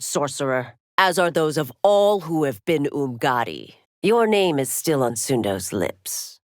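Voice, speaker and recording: A stern fighting game warrior lady, top cop type, low voice that swings a lot, formal and bossy, really dry sound. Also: no room sound. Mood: formal, firm. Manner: formal, commanding, clipped declarations. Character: stern, dutiful warrior woman, chief law officer. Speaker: video game voice actress. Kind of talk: fighting game character voice lines.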